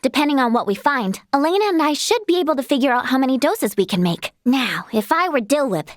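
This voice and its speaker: A woman voicing a cheerful, earnest young female healer in a fantasy video game, bubbly and expressive. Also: high-pitched, fast, no echo, clean studio sound. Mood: chatty, practical. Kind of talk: animated fantasy game character acting.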